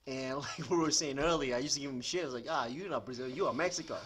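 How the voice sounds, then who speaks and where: Bright, punchy man in casual banter. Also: medium pitch, fast. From Thailand. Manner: informal, slangy, profane. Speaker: podcast co-host.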